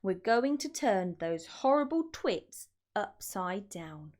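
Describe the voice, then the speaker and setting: A woman's voice: medium pitch, big pitch swings, slow, darkish tone. A woman voicing a determined monkey father from a children's storybook, bossy and emphatic. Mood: firm, determined. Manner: urgent, emphatic calling. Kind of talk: children's storybook read-aloud.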